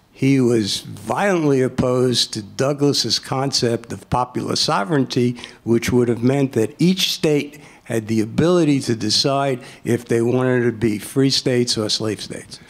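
An older man answers questions from a panel table. He speaks slowly in a mid-range voice, haltingly, repeating words for emphasis. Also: mid-range voice. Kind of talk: informal lecture, answering audience questions. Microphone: table microphone.

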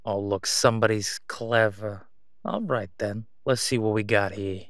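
A man's voice: fairly low pitch, a bit bright, no room sound, studio quality. Man playing a sly, sarcastic crime boss. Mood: mocking, amused. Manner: sarcastic, smooth-talking, drawn-out condescending delivery. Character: slick middle-aged male crime boss, mocking, sly, self-assured. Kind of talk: roleplay audio drama monologue.

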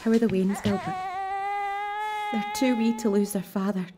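A woman's voice: mid-range voice, fairly even intonation, moderate pace, music in the background, close to an everyday voice. An actress playing a tender, grieving young Scottish widow and new mother in a radio drama.

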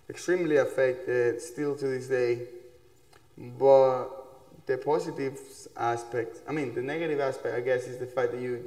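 A young man from Ecuador, a musician, chatting in a relaxed, loose way in a small dark room. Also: medium pitch. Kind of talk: casual interview talk. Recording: echoey room with long reverb.

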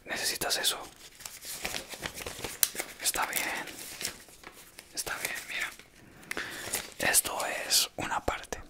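A man's voice: slow, long pauses, pushed and bright. A man whispering an ASMR roleplay as a doting, gullible boyfriend.

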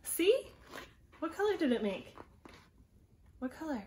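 A woman with a fairly high voice reads a picture book to small children at a very unhurried pace. She is gentle and encouraging, often pausing to ask questions. It is a home recording with faint noise in the room. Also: sing-song. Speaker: teacher reading a picture book aloud. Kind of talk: interactive read-aloud to young children.

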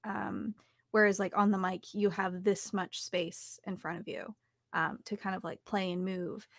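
Young female, conversational interviewee. Noticeable vocal fry, dry home-studio sound, thoughtful delivery. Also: medium pitch, level tone, unhurried, dry recording. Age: young adult. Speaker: interview guest, audiobook narrator and voice actor.

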